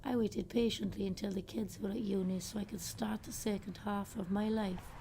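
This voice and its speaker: A woman acting as a jaded middle-aged social worker in a radio drama. Her voice is mellow and mid-pitched, wry and tired, with faint noise behind it. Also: lightly acted. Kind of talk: radio play monologue.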